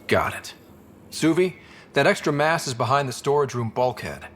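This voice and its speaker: A man's voice: medium pitch, expressive, moderate pace, a bit bright. An actor playing an easygoing young male space explorer in a sci-fi game, with a casual, dry, understated delivery. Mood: focused. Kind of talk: naturalistic sci-fi game acting.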